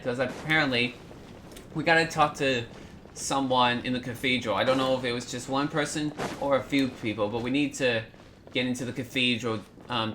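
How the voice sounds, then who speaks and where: A man's voice: mid-range voice, sharp tone, slight background noise. A man hosting a gaming channel, giving casual let's play commentary on what he is doing. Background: game music.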